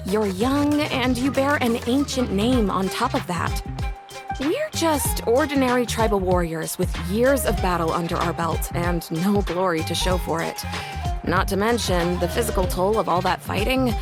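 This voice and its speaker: A woman's voice: mid-range voice, close to an everyday voice. Woman as a weary, apologetic veteran warrior. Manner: measured, apologetic. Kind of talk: video game voice acting. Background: background music.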